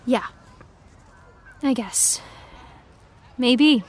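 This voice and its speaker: A young woman playing a blunt, disillusioned eighteen-year-old fan writer in an audio drama, with a sardonic, halting delivery. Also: on the high side. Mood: glum. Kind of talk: naturalistic audio drama dialogue.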